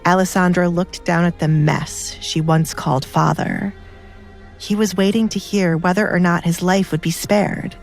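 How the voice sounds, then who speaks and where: Lower-pitched woman narrating dramatic fiction. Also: steady tone, normal speed, creaky, faint music behind. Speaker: audiobook narrator. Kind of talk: scripted dramatic story narration.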